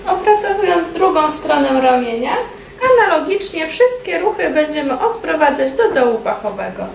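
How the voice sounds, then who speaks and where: Woman, high voice, step-by-step instructional narration, distant mic, very loud background noise. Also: moderate pace, gravelly. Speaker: beauty treatment instructor. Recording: distant, echoey room with loud steady noise.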